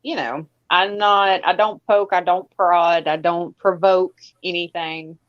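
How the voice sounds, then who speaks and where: Woman guest, soft-spoken and agreeable, slow delivery, dull lo-fi recording. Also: fairly low pitch, steady tone. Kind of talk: casual conversation. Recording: dull lo-fi sound.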